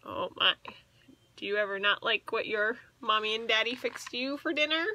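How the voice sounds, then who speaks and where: A woman in her thirties reading a storybook aloud to children as a teacher, expressive and asking the listeners questions. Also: on the high side, moderate pace. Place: small indoor room with bookshelves.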